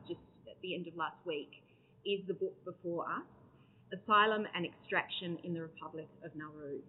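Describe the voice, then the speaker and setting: A woman hosts a book event and introduces her guest with formal, gracious care. Her voice comes through a narrow, phone-like channel with faint noise behind it. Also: lowish voice. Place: event room in front of an audience.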